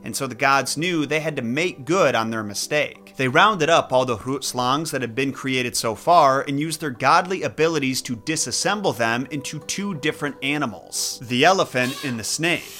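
A young man with a Midwestern American accent narrates a folklore podcast from a script. His voice is bright and forward, and he sits at a middle pitch. He delivers the story steadily and drops in wry jokes along the way. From Midwest United States. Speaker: podcast host.

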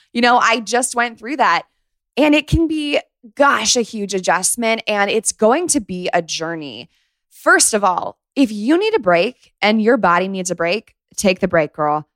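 A woman's voice: medium pitch, a bit bright, dry recording. A young woman podcast host talks casually to her listeners, offering advice in an emphatic, girlfriend-to-girlfriend way. Her speech is chatty and sprinkled with slangy asides, and the recording is dry and close. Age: 20s.

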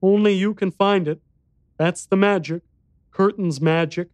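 A man performs a small boy from a dark storybook, pitched well up high. The child sounds grave and frightened, speaking with earnest seriousness. The sound is close and dry. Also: steady tone, unhurried, no echo. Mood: earnest, insistent. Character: solemn, frightened little boy in a haunted house. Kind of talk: audiobook character dialogue.

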